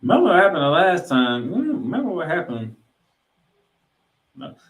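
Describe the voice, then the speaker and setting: A man's voice: fairly high pitch, wildly swooping pitch, normal speed. A man hosting a sports podcast with casual football banter, speaking emphatically and often repeating himself or asking rhetorical questions for effect. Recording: roomy echo on voice.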